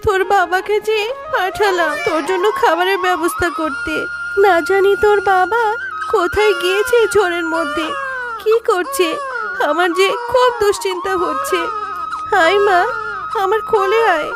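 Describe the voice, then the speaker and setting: A woman's voice: very high voice, moderate pace, over loud music. A woman voicing an anxious, tearful young mother in a melodramatic cartoon fairy tale, with a plaintive, pleading manner.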